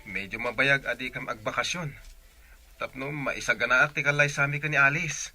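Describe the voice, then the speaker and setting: A man's voice: mid-range voice, normal speed, pushed and bright. A man playing a dutiful, devoted young son in a radio drama, speaking earnestly.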